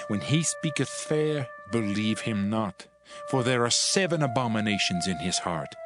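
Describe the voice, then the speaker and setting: A man giving a solemn, declamatory, dramatized reading of scripture in measured phrases. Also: on the low side. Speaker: audio Bible narrator. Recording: music behind the voice. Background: background music.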